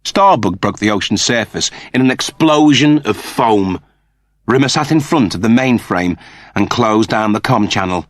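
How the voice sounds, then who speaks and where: A male audiobook narrator tells a story in a fairly low voice. His delivery is expressive and very varied in pitch, and the recording is close and dry. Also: moderate pace, no room sound.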